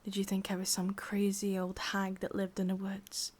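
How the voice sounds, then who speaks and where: A woman with an English accent voicing a possessive, sadistic witch in a slow, breathy ASMR roleplay whisper. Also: mid-range voice, monotone, darkish tone, close to an everyday voice. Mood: smug, teasing. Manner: slow, intimate, teasing. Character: sadistic, possessive witch, softly menacing and doting.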